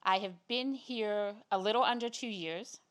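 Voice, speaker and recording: A woman's voice: medium pitch, moderate pace. A woman introducing herself as a data leader on a panel, composed and friendly.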